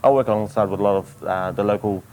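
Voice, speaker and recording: A man with a New Zealand accent and a low voice, earnestly answering questions about his events. He often stops and restarts, filling the gaps with "um". Faint noise sits behind him. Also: darkish tone, poor audio. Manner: earnest, halting, many fillers. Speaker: event organizer. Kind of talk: interview answers. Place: outdoors at a motorsport event.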